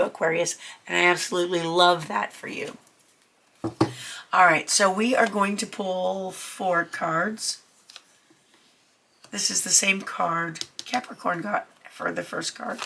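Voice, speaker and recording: A woman's voice: on the low side, bright, forceful tone. A woman with a cold giving a chatty, reassuring tarot reading to her viewers. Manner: chatty, reassuring, many ums. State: getting over a cold. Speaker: tarot reader. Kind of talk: casual tarot reading to camera.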